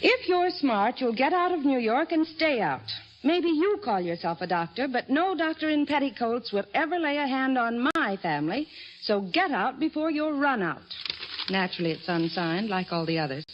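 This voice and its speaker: A woman's voice: high-pitched, sing-song, normal speed. An actress playing an earnest, resolute young woman doctor of the nineteenth century in an old-time radio drama. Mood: wry. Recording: dull, noisy old radio recording.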